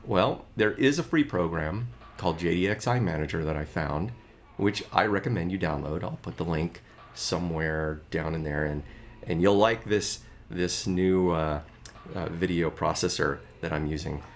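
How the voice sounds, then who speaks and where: A man's voice: low voice, normal speed, slight background noise. A middle-aged man talking casually about his music gear, chatty and a bit rambling.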